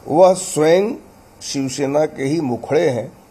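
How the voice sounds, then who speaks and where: A man's voice: a little high, slow, mellow, slightly rough. A man giving a statement to the press as a political party spokesman.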